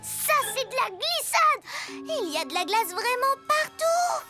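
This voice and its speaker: An actor voicing an eager, earnest and excitable young child superhero in a cartoon dub. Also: extremely high pitch, a little slow, heavily acted. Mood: excited, determined. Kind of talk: animated cartoon dub acting. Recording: loud cartoon music behind.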